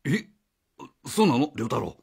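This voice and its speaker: A man's voice: mid-range voice, big pitch swings, unhurried, vocal fry, darkish tone, husky, exaggerated voice. A man playing a flustered, evasive, cheeky father who drives a taxi, stammering and blustering in a radio drama. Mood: defensive, sarcastic. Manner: stammering, blustering, casual speech. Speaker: voice actor.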